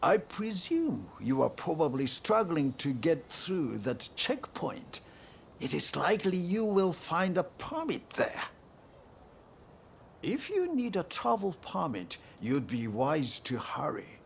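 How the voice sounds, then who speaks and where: A creaky-voiced earnest villager. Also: male voice, fairly high pitch, big pitch swings, slow, slight background noise, full character voice. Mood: earnest, helpful. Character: grateful, earnest village man, humble and helpful. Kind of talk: video game cutscene acting.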